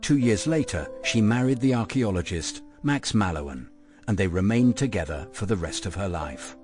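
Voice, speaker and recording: A low man's voice over clear music. He narrates a radio drama from a script, reading background and credits. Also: lively intonation, moderate pace, darkish tone. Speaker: narrator of prologue and epilogue.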